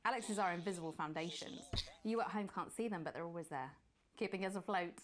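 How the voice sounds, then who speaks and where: A woman playing a posh female TV presenter who signs off smoothly, then turns obsessive and pleading. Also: on the low side, quick pace, slight background noise, close to an everyday voice. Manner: polished, then pleading and unsettling. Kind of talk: late-night TV sign-off.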